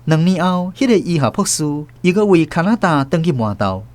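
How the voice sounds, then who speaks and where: Expressive male radio drama narrator. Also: on the high side, brisk. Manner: expressive storytelling. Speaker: host and narrator.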